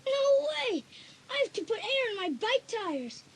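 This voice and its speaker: A woman's voice: very high-pitched, sing-song, unhurried, full character voice. A woman voicing a cheeky, protesting young boy in a dramatised audiobook.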